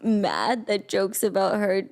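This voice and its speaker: A woman in her thirties with a high voice hosting a podcast at home, chatting casually and laughing often. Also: sing-song, slow pace, darkish tone. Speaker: podcast host. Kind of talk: casual chat. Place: her house.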